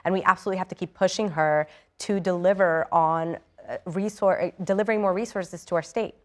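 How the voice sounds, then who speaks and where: Newly elected lawmaker, a young woman from Ecuador; low-set voice, earnest and eager, lots of fillers and restarts. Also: steady tone, a little fast. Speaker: state assemblymember, interview guest. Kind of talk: live TV news interview.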